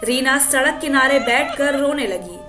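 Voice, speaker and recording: A woman's voice: sits high, animated, unhurried, over music. A woman reading a scripted moral story as its narrator.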